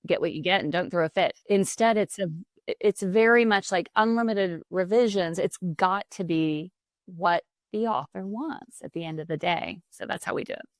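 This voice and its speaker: A woman who runs a publishing company, talking as a podcast guest in a quick, animated way with frequent restarts. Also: lowish voice, no room sound. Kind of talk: casual podcast conversation.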